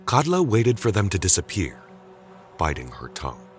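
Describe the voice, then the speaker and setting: A man narrating a scripted story dramatically, with suspenseful, deliberate phrasing. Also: deep voice, huge pitch swings, soft background music.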